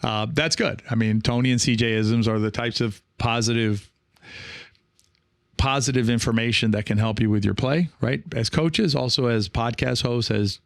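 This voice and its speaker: A man talking casually as a podcast host and coach, reflective and encouraging, halting now and then and often checking in with the listener. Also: medium pitch, gravelly, no echo, studio quality. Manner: reflective, halting, with frequent rhetorical check-ins.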